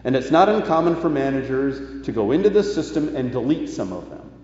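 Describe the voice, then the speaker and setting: A man preaching a sermon in a deliberate, emphatic way, with rhetorical phrasing. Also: medium pitch, big pitch swings, darkish tone. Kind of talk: sermon, partly reading quoted news aloud. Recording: echoey church hall, slightly dull sound.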